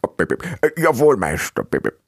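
A man's voice: mid-range voice, wildly swooping pitch, fairly quick, vocal fry, dry recording, clean studio sound, voice pushed up, full character voice. A man voicing a servile, bumbling little animal assistant who stammers and punctuates every phrase with beeps, in a storybook reading. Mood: contrite, servile.

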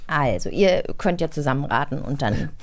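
A woman's voice: sits low, expressive, normal speed. A woman co-hosting a casual radio chat in a studio, talking in an informal, colloquial way. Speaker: co-host.

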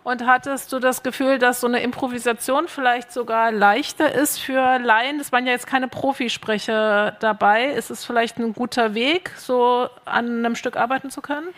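A woman's voice: a little high, fairly even intonation, normal speed, few pauses, a bit bright. A woman moderating a festival panel, asking guests about their work. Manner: questioning, friendly, some fillers. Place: stage at a festival.

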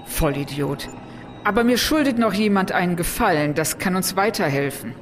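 A woman's voice: lowish voice, animated, moderate pace, faint music behind, natural voice. A woman playing a foul-mouthed, sarcastic space outlaw in a science fiction audio drama, curt and mocking.

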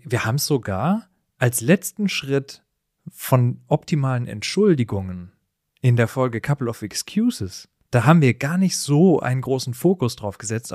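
A man's voice: mid-range voice, darkish tone, dry recording. Casual male podcast co-host, dry sound.

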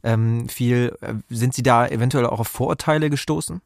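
A man's voice: medium pitch, normal speed, gravelly, no room sound, studio quality. A man asking questions conversationally as a podcast interviewer.

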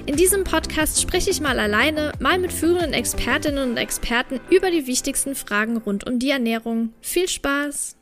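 A woman's voice: sits high, lively intonation, moderate pace, few pauses, fairly bright tone, faint music behind. Chatty woman hosting a podcast. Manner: chatty, colloquial. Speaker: podcast host. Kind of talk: casual interview chat.

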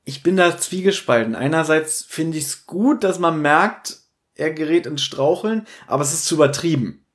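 Man, fairly high voice, podcast host, casual recap, conversational, quotes dialogue. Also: animated, moderate pace. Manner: retells dialogue in acted-out quotes.

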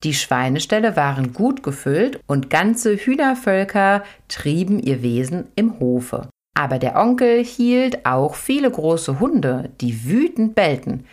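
A woman podcast host reading an old folk tale aloud in a lively, expressive storytelling manner. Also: unhurried, hardly any pauses. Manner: lively, expressive read-aloud with sudden high lifts. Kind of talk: storybook narration.

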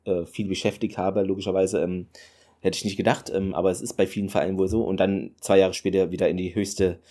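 Low, mellow-voiced man rambling about football. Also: flat delivery, fairly quick. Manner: rambling, many fillers, enthusiastic asides. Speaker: podcast host. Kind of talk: solo football podcast talk.

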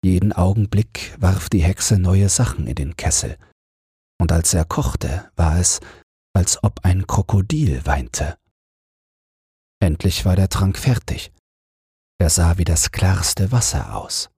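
A man reading a fairy tale as a bedtime audiobook in a measured, soothing storytelling manner. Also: deep voice, expressive, dark tone, breathy edge, dry recording, studio quality. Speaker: audiobook narrator.

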